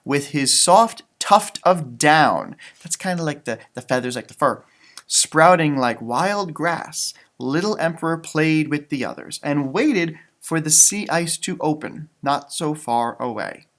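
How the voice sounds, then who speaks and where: A man reading a children's picture book aloud in an expressive, teacherly way, with casual chatty asides. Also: a little high, measured pace. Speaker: storybook reader, elementary school teacher.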